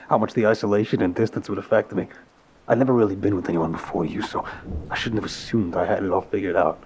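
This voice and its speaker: Man playing low-voiced, halting, apologetic mafia boss. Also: darkish tone, slight background noise. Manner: halting, hesitant, sighing, sincere. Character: apologetic, lovesick male mafia boss, anxious and remorseful. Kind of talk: intimate audio roleplay, boyfriend ASMR.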